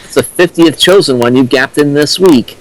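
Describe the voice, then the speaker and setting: A man's voice: fairly high pitch, normal speed, low-quality recording. A man co-hosting with dry, sardonic critical commentary. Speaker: co-host commenting on fan fiction.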